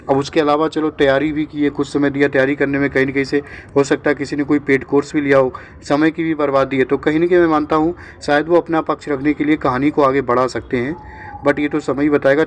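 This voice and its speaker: A man with a slightly high voice talks informally to his audience as an update presenter. He is reassuring and repetitive, often checking in with short tags and dropping in English words. Some noise sits behind the voice. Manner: mixes English words into speech. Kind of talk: informal explainer addressed to exam candidates.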